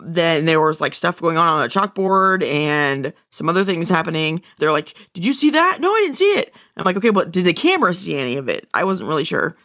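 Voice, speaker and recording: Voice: medium pitch, big pitch swings, fairly quick, few pauses, pushed and bright, no room sound, studio quality. A podcast co-host chatting casually in a fan discussion, with a wry, joking way of talking.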